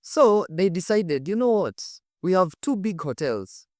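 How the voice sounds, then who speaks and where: A man's voice: high voice, sing-song, a little slow, dry recording. Kenyan man telling stories, dry close sound. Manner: emphatic, repeats phrases, rhetorical questions. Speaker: host, storyteller. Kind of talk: animated conversational storytelling to camera. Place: studio set.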